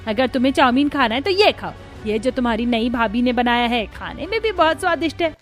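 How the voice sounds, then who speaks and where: Casting: woman playing scheming young cartoon bride, sly asides, higher-pitched, music behind. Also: animated, fairly quick, lightly acted. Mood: anxious, urgent. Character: scheming young new bride, secretly lazy. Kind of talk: Hindi cartoon story dialogue.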